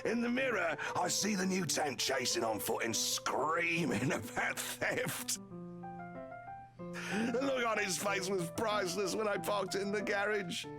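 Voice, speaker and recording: A man playing a jovial, boastful married police officer who flatters socialites, chatty and theatrical, in a video game. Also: sits high, unhurried. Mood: gleeful. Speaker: character voice actor in a visual novel. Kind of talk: voiced game dialogue. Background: music.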